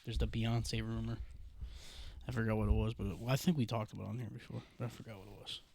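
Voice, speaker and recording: A young man with a Western New York accent co-hosting a casual podcast, loud, profane and jokey, sometimes singing snatches of songs. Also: lowish voice, flat delivery, fast, gravelly. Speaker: podcast co-host. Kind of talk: casual banter.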